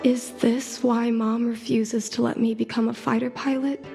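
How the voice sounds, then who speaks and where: Game casting: earnest, hesitant daughter, lightly high voice with some fry, over music. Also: female voice, level tone, moderate pace. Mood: stunned, pensive. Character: earnest young girl, daughter longing to be a pilot. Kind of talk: video game voice acting. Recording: clean game dialogue over background music.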